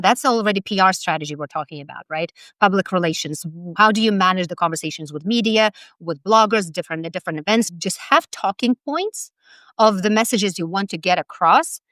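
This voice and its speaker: A woman's voice: mid-range voice, a bit bright, dry recording. A woman in her forties from Lithuania with a Lithuanian accent, a branding expert giving direct, emphatic advice in an interview and laughing between points. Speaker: personal branding expert guest.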